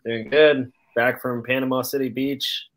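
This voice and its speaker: Mid-pitched man chatting casually about sports. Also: flat delivery, measured pace, fairly bright tone. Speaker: podcast panelist. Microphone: webcam video call.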